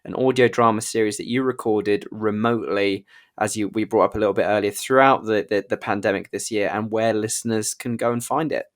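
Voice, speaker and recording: A man's voice: fairly low pitch, fairly even intonation, a little fast. A man from Surrey with a southern English accent, hosting a casual interview in an effusive, chatty way. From Surrey, England. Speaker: interview host.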